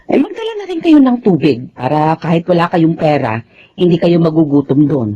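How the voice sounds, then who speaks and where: A woman playing a gentle, poor, long-suffering mother with a Filipino accent in a radio drama. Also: deep voice, sing-song, a little fast, breathy edge, heavily acted. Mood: tender, weary. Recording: dull lo-fi radio drama audio.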